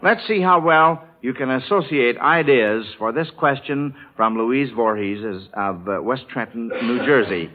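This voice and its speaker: A man's voice: mid-range voice, expressive, a little slow, hardly any pauses. An American man hosting a mid-century radio quiz show in a folksy announcer's delivery, on a vintage radio recording. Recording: vintage radio, narrow telephone-like band.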